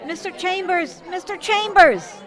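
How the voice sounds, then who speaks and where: A woman's voice: very high-pitched, measured pace, a bit bright, some chatter behind. A woman in amateur radio theater playing an anxious codebreaker, urgent and pleading as she gives warning. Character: anxious female codebreaker desperately warning a man.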